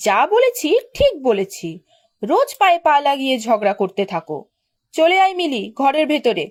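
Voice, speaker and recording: A woman's voice: sits high, big pitch swings, moderate pace. A woman voicing a protective village mother in a cartoon, firm and indignant. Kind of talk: cartoon voice acting.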